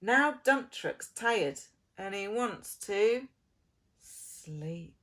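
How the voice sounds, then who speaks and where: Slow, very animated woman reading a children's story. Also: medium pitch, a little hiss or hum. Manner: theatrical, with drawn-out words and soft hushed phrases. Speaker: preschool early years lead reading aloud to children. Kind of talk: expressive children's picture-book storytelling.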